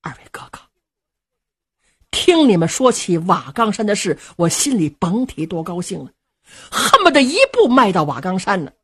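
Young nobleman, voiced by a woman, husky, earnest, pleading, lively pitch. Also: normal speed. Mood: torn, pleading. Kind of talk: traditional storytelling.

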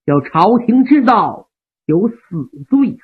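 A woman's voice: a little high, sing-song, measured pace, pitched below the performer's own voice. Woman doing a worried middle-aged general. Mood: anxious. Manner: worried, reproachful. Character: middle-aged male general, anxious, earnest. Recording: phone-like narrow band.

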